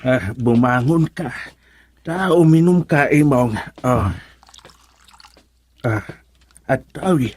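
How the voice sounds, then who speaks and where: An actor playing a concerned older fatherly man in an Ilocano radio drama, unhurried and soothing. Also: mid-range voice, darkish tone, breathy edge.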